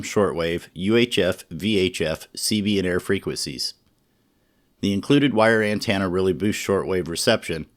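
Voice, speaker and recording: A man narrating a scripted product review in a measured, informative way. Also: low-pitched. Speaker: radio reviewer.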